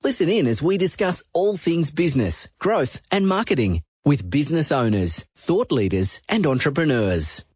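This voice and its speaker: A man's voice: on the high side, moderate pace, few pauses, husky, no room sound. A man reading a scripted podcast intro in a polished announcer style. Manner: polished, lively intro delivery. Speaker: show announcer.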